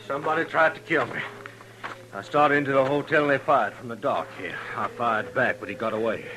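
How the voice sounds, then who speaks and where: A man's voice: mid-range voice, fairly quick, lightly acted. A man playing a hard, determined manhunter in a 1950s radio western, with a clipped, pointed delivery. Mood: agitated. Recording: noisy 1950s radio recording.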